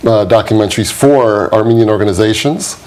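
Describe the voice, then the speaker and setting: A man's voice: lowish voice, a little fast, a little mellow, a little hiss or hum, low-quality recording. A man from the United States, a documentary producer appearing as a guest on a talk show, explaining his work in an easygoing, conversational way. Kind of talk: studio interview.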